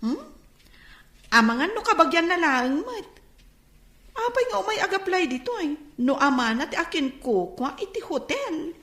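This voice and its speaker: A Filipino woman acting a soft-hearted, curious young businesswoman in a radio drama, giggly and hesitant. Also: very high voice, sing-song, normal speed, exaggerated voice. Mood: amused, sympathetic. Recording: roomy, echoing sound.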